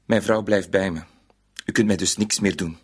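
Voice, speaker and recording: A defiant man in a radio play, standing up to someone who threatens him. His voice is deep and husky. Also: steady tone, moderate pace.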